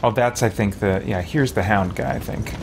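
A man's voice: fairly low pitch, moderate pace, gravelly, noisy background. A man in his forties giving casual gameplay commentary, musing and often interrupting himself. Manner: rambling, musing, self-interrupting. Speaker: game streamer. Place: small indoor room with shelves.

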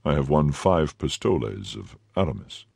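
A man voicing a blunt, easygoing musketeer who answers plainly and matter-of-factly in a very deep, husky voice. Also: sing-song, normal speed, darkish tone, no room sound, studio quality, full character voice.

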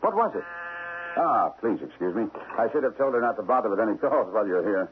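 An actor playing a two-faced, nervous stockbroker in a radio drama, theatrical and evasive. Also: male voice, on the low side, expressive, fast talker, a little hiss or hum, natural voice. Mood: gushing, evasive. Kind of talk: old-time radio drama acting. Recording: tinny old radio broadcast.

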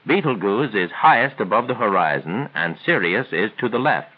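A man reads scripted 1940s training film narration in a measured, formal, instructional way. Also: on the low side, lively intonation. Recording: tinny band-limited 1940s film soundtrack.

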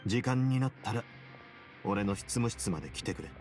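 A man playing a composed, shrewd prison duke in an anime game, explaining things in a calm, measured, confident way. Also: fairly low pitch, faint music behind, close to an everyday voice. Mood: composed, casual.

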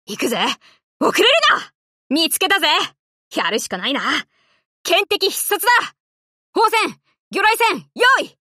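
An actress voicing a brash, cocky but loyal young tomboy warship girl in an anime game, with rough, boyish, casual speech. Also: extremely high pitch, big pitch swings, unhurried, sharp tone, no echo, studio quality, exaggerated voice. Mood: fierce, commanding. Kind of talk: Japanese anime game character voice lines.

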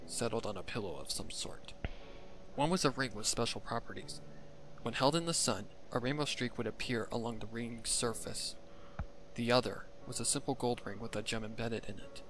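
A man's voice: mid-range voice, noisy background. A man reading scripted fan fiction as the story's narrator.

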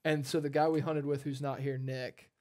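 Young man, podcast host, casual interview style, affirming, repetitive short replies, medium pitch. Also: flat delivery, moderate pace, mellow. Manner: affirming, repeats short phrases. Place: at a dining table in a home. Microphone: headset.

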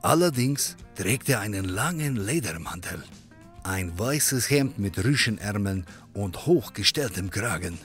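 An actor playing a friendly European barkeeper in a comedy audio drama, easygoing and confiding in manner. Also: male voice, on the low side, lively intonation, a little slow, soft background music. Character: friendly European barkeeper, helpful male pub owner.

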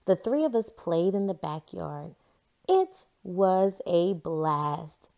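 A woman giving an expressive read-aloud narration of a children's storybook. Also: lowish voice, takes it slowly, creaky. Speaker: storybook reader.

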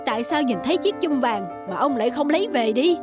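An actress playing an impatient, nagging peasant wife in an animated folk tale, scolding and fretful. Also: female voice, sits high, a little fast. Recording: music behind the voice.

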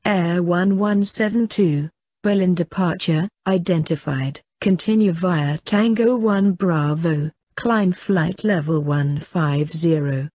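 Slow, lowish-voiced woman giving controller instructions. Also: a little mellow, no echo. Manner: measured, formulaic. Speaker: air traffic controller in an English lesson. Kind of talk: scripted air traffic control phraseology.

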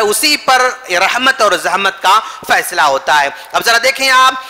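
A man preaches a Friday sermon in a very high, bright voice, pressing each point through repetition. His speech is slow and deliberate, heard through a headset mic. A long reverb from the mosque hall trails his words. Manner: emphatic, repetitive, gesturing while preaching. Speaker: imam giving Friday sermon.

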